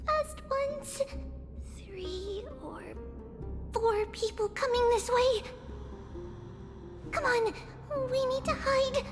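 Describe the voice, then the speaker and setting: An earnest young girl from a video game with a small, childlike, extremely high voice. She speaks very slowly in a long reverberant space, with music underneath. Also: heavily acted. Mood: anxious, hushed. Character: earnest young girl searching for missing companions.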